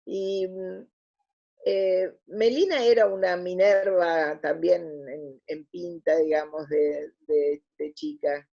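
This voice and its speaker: Casting: middle-aged Argentine woman, gravelly low-key voice, hesitant conversational interviewee, on dull lo-fi audio. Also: steady tone, takes it slowly, dark tone. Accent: Argentine Spanish. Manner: hesitant, searching for words. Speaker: interview guest. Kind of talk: casual reflective interview answers.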